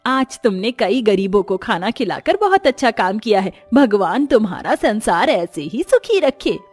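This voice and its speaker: A woman voicing a gentle, pious, compassionate poor village woman in a moral story. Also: medium pitch, big pitch swings, normal speed, soft background music. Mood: grateful.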